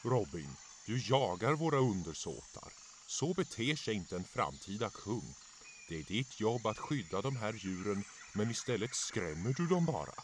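A man's voice: fairly low pitch, sing-song, slight background noise. A man voicing a stern lion king and strict father in a cartoon fan dub, authoritative and scolding.

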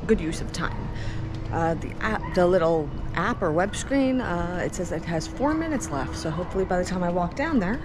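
A woman's voice: lowish voice, expressive, moderate pace, noisy background. A woman in her thirties chatting casually and explaining things as a vlogger, on a handheld camera at arm's length. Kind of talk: casual vlog chat and walk-through. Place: resort hotel room, laundry room and outdoor walkways.

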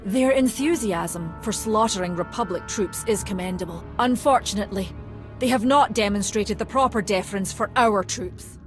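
An actress playing a poised, dutiful army colonel in a video game, dryly polite and formal. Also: female voice, mid-range voice, normal speed, music in the background, close to an everyday voice. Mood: dry courtesy. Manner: crisp, formal, dryly polite military diction.

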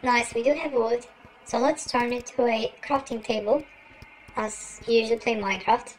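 A man's voice: steady tone, moderate pace, low-quality recording. A young man voicing a little girl through a real-time voice changer, giving casual game commentary. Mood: playful, relaxed. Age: young adult. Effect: real-time pitch-raising voice changer.

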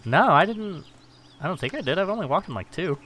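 A man's voice: high-pitched, animated, a little fast, fairly bright tone, no room sound. A young man gaming with a friend, teasing him with crude jokes and playful banter. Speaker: gamer. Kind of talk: casual co-op gaming chat. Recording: noisy home mic.

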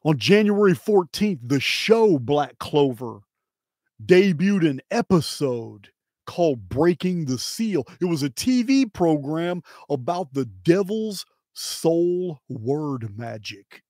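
A middle-aged American man hosts a solo presentation in a somewhat high-pitched voice. He speaks slowly and emphatically, breaking his commentary into short, deliberate phrases. The sound is close and dry, from a microphone right by him. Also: sing-song, a little mellow, no room sound. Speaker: video host and presenter. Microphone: close microphone on boom arm.

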